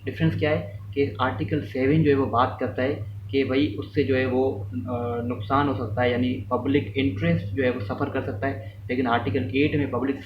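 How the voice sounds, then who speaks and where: A man's voice: mid-range voice, flat delivery, normal speed, few pauses. Guy teaching law step by step, kinda muffled, bit of background noise, drops in english terms. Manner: explains step by step, often repeating and rephrasing; mixes English terms and phrases into speech. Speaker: law lecturer. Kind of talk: informal explanatory law lesson with hypothetical examples. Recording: echoey room, distant mic, muffled, faint noise.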